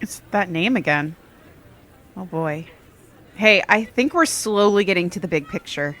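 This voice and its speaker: A woman's voice: lowish voice, lively intonation, unhurried, fairly bright tone, a little hiss or hum. A woman playing a level-headed, knowledgeable young woman in an audio drama, speaking in a dry, matter-of-fact way. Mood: wry. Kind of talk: audio drama dialogue, conversational.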